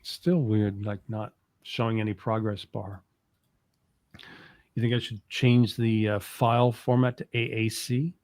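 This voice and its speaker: A man's voice: lowish voice, level tone, unhurried, a little mellow, no echo. Male tutorial co-host, casual, tentative step-by-step talk, close dry sound. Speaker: co-host demonstrating software. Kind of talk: casual live tutorial walkthrough.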